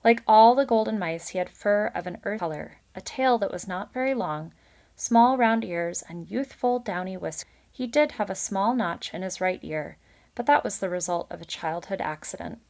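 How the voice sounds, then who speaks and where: A woman's voice: mid-range voice, expressive, moderate pace, a little mellow. A woman reading a children's book aloud as a storyteller and commenting on it casually.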